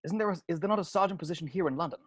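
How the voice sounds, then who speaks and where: Guy reading uptight eager young cop, talks fast, husky voice, polite but pushing back. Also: a little high. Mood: protesting. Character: earnest, overachieving young male police constable. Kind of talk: table read over video call.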